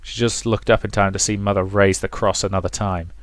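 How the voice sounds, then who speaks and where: A man's voice: lowish voice, flat delivery, fairly quick, no echo. A man reading a visual novel aloud as dramatic story narration. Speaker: let's play narrator.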